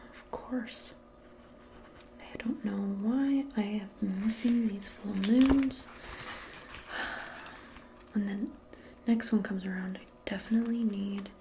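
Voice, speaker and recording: A woman playing a casual, gently awkward modern witch in a soft-spoken ASMR roleplay, speaking hesitantly in a breathy, often whispered voice. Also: mid-range voice, a little hiss or hum. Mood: musing, murmuring. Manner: hesitant, murmuring, conversational. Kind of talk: ASMR personal-attention roleplay.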